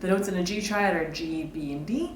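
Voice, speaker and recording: Casting: female guitar instructor, slightly low pitch, informal think-aloud teaching style, echoey room recording. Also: a little fast. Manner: casual, explanatory, thinking aloud. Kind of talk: informal instructional talk with demonstrations. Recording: roomy echo, guitar played alongside. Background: electric or acoustic guitar playing.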